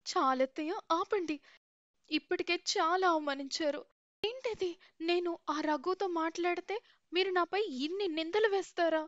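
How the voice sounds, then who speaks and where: An earnest young bride from a cartoon story, voiced by a woman. Her voice is high and pleading. The voice-over sounds dry and slightly dull. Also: moderate pace, no room sound. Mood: indignant.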